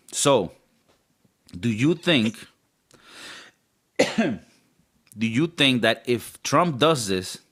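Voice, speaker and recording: A man co-hosting a casual podcast, with a raspy voice at an ordinary male pitch. He rambles along in a joking, loose style and swears freely. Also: sing-song, drawn-out words, pauses often, fairly bright tone. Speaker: podcast co-host.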